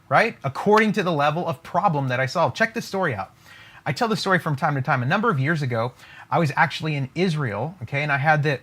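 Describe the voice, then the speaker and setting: Male business coach, slightly high voice with big swings in pitch, emphatic whiteboard pitch to viewers. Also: a bit bright. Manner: emphatic, keeps asking "right?" and "okay?". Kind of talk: motivational training at a whiteboard. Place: room with a whiteboard.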